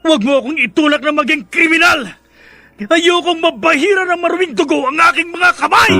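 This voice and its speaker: A woman's voice: extremely high pitch, a little fast, sharp tone, faint music behind, exaggerated voice. An actress playing a furious, threatening woman at breaking point in a melodramatic radio drama, vehement and menacing.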